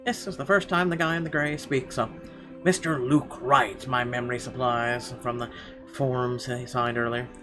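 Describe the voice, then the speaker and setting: A man with a medium-pitched voice reads a visual novel's narration aloud in the manner of a let's player. Game music plays clearly behind him. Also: steady tone, normal speed.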